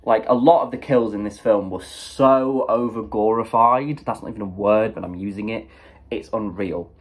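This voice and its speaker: A man's voice: on the low side, normal speed. Sniffly young man chatting about a film. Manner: chatty, animated, many fillers and quoted reactions. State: sniffly with a cold. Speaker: movie reviewer. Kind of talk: casual spoken film review.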